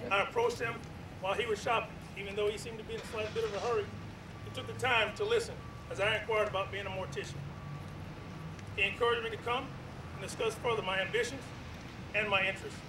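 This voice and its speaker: A young Marine officer delivers a measured, formal tribute in a high voice. He is picked up from afar through an echoey public address system outdoors. Clear traffic noise runs underneath. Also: fairly even intonation, far from the mic. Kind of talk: formal ceremonial tribute speech.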